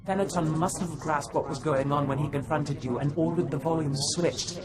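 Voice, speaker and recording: An actor playing an erudite, sly Sith scholar in a borrowed body, speaking articulately and theatrically in a video game. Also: male voice, on the high side, moderate pace, close to an everyday voice. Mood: measured, sly. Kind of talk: video game cutscene dialogue. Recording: music behind the voice.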